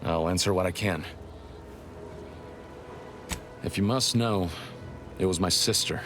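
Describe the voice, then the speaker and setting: Deep, articulate rebel spymaster. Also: male voice. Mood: somber, reflective. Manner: formal, measured, articulate. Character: guarded, articulate male rebel spymaster, grave and urgent. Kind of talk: dramatic video game dialogue.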